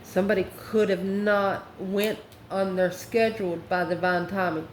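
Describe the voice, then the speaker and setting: A woman's voice: medium pitch, steady tone, normal speed. A woman hosting a casual, conversational tarot reading, chatty and informal with rambling asides. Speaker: tarot reader and channel host. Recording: echoey room, clear background noise.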